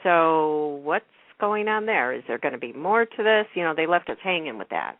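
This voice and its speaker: A woman's voice: low voice, big pitch swings, normal speed, no room sound. A woman hosting a radio show, chatting casually over a muffled, telephone-like line. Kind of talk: casual chat.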